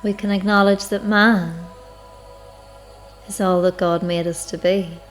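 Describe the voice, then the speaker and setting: Slow, low-voiced woman leading meditation. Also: fairly even intonation. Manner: unhurried, reflective, measured with long pauses. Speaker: guided meditation leader. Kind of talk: slow spiritual guided meditation narration. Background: music.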